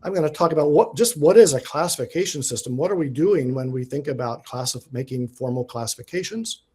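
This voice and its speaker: A man with a somewhat raised pitch and a mellow, relaxed tone gives a science talk. He sounds keen on his subject and tries to keep his pace slow and deliberate. Frequent "uh"s and self-corrections run through his speech. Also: breathy edge. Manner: enthusiastic, many fillers and restarts; aims to speak slowly and deliberately. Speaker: guest lecturer, diatom researcher. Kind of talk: informal academic presentation.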